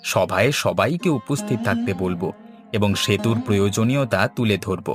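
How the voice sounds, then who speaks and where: A man's voice: fairly low pitch, moderate pace, music in the background, lightly acted. A man voicing a concerned, earnest village man who explains things in scripted cartoon dialogue. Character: concerned village man urging neighbours to work together.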